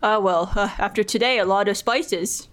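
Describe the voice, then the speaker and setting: A performer voicing a smug, petty male demon president in a put-on accent, with a halting, boastful delivery, in an improvised comedy. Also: medium pitch, a bit bright, natural voice. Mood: breezily confident. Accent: put-on character accent. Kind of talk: improvised comedy podcast acting.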